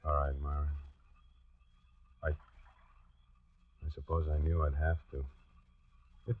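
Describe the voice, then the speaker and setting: An American actor playing a lovesick, nervous, reluctant killer in a 1940s-style radio suspense drama, narrating in the first person and halting under pressure. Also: male voice, rumbling low, little pitch movement, full character voice. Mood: reluctant, anguished. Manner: halting, hesitant, stammering when pressed. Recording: muffled, noisy old radio recording.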